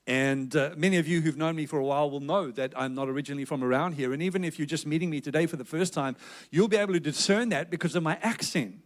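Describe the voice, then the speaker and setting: A man's voice: a little high, quick pace. A South African man preaching a sermon with a South African accent, in an earnest, emphatic exhorting manner. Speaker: pastor.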